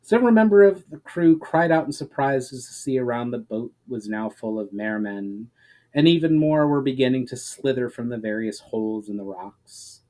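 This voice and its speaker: A man narrating a fantasy story as a dramatic reading, letting his phrases trail off softly at the end. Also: medium pitch, normal speed, poor audio.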